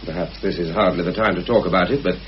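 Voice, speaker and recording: An actor playing an earnest, frank and touchy bachelor in a vintage radio play, speaking emphatically. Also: male voice, sits low, steady tone, quick pace, close to an everyday voice. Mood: frank, devoted. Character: earnest, defensive bachelor devoted to a widow. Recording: dull, hissy old radio drama recording.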